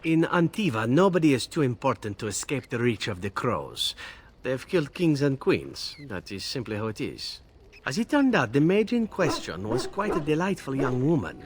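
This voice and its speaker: An actor playing a suave, flirtatious assassin in a fantasy video game, telling tales in a wry, teasing, theatrical way. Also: male voice, medium pitch, huge pitch swings, moderate pace, a little hiss or hum. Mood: wry, suggestive. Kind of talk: video game character acting.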